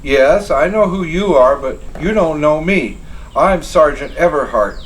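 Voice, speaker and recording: A man voicing a boastful, excitable young colonial sergeant who blusters and panics by turns, pitched well above his own voice. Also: measured pace, a little hiss or hum, poor audio. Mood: defiant. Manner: frantic, blustering, brash.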